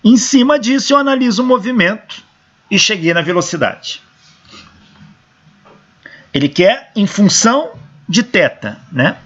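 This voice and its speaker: Hoarse Brazilian professor, lively higher voice, dull lo-fi sound, talks through equations step by step. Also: male voice, measured pace, long pauses. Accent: Brazilian Portuguese. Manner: explains step by step, asks and answers his own questions. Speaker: engineering professor. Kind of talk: lecture working through equations. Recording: dull lo-fi recording.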